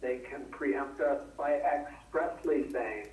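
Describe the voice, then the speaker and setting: A man on a speakerphone in a public meeting, explaining county rules in a measured way. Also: mid-range voice, darkish tone, distant mic. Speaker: county administrator on the phone. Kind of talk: public meeting answers by phone. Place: meeting room, heard over a speakerphone. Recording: phone voice on a speaker in an echoey room.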